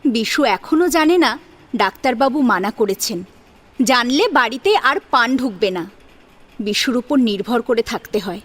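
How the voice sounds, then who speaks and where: A woman's voice: fairly high pitch, expressive, unhurried, fairly bright tone. A woman narrating a story in a dramatic audiobook style. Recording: sitar music behind the voice. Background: plucked sitar music.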